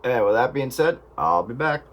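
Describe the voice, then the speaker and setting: A man's voice: mid-range voice, animated, moderate pace. A man chatting casually over two-way radio in plain, folksy radio slang. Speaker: amateur radio operator. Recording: very noisy radio with static and clicks.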